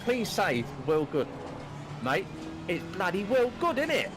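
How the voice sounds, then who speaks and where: A Cockney man in his fifties commentates a sim race live, excitable and chatty, slipping in casual swears and calling people mate. His voice sits a little high for a man. Loud music plays behind him. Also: a little slow. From East London, England. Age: 50s. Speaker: race broadcast commentator and streamer.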